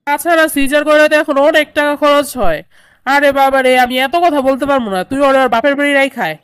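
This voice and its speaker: A woman as a high-pitched, nagging mother-in-law. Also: steady tone, fast talker, fairly bright tone, no echo. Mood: scolding, resentful. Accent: Bangladeshi colloquial. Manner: scolding, complaining. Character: nagging, hot-tempered middle-aged mother-in-law. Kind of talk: cartoon drama dialogue.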